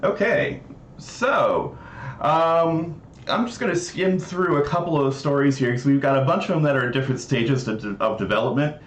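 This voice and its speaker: A man's voice: medium pitch, normal speed, slight background noise. A man hosting a casual livestream, talking through a demonstration of a game project in an informal way. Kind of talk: casual livestream demo commentary. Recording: roomy echo, music behind. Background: game music.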